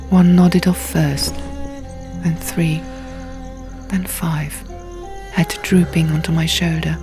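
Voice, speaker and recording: Female audiobook narrator: unhurried, hushed dramatic fiction read, lowish and creaky, soft music behind. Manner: hushed, often near a whisper.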